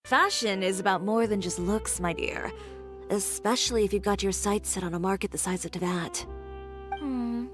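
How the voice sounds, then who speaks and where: An actress voicing a frank, confident young fashion designer in an anime-style video game, composed and dry. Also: female voice, mid-range voice, moderate pace, close to an everyday voice. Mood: composed, assured. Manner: composed, dry, matter-of-fact. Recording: music playing behind the voice.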